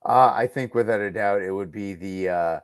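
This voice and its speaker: A man's voice: fairly low pitch, moderate pace, clean tone, no echo, clean studio sound. A man talking casually as a podcast guest, giving emphatic, musing opinions peppered with "like" and "you know".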